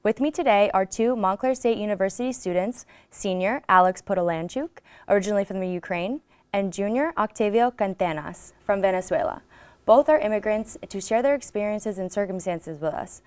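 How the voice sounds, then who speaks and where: A woman's voice: mid-range voice, fairly quick, clear, resonant tone. A woman hosting an interview program, opening with a scripted welcome and then asking her guests plain questions. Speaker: TV program host.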